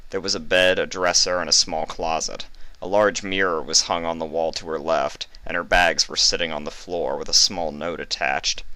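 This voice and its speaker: Deep-voiced man narrating a story. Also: bright, forceful tone, dry recording. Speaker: fan fiction reader.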